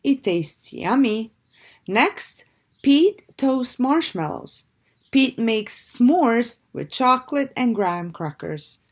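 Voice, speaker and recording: Slow, animated woman reading to children. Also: a little high. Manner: slow, deliberate, expressive storytelling for young listeners. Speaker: teacher reading aloud to children. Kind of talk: children's storybook read-aloud.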